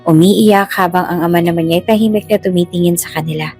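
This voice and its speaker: A woman's voice: fairly low pitch, fairly even intonation, fast, warm tone, breathy edge. Woman narrating drama over music. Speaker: storyteller.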